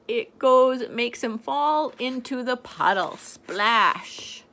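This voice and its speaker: An older woman reading a picture book aloud to a child in a gentle, playful way, pointing out the pictures as she goes. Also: fairly high pitch, animated, takes it slowly. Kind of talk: storybook read-aloud to a child.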